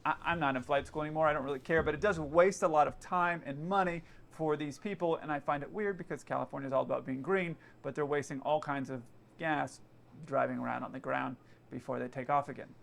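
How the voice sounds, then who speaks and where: A man with a noticeably high-pitched voice gives explanatory commentary. He talks casually and offers his opinions plainly, like someone who knows the subject firsthand. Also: steady tone, fairly quick, vocal fry, fairly bright tone. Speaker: pilot giving commentary. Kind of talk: explanatory commentary on air traffic radio.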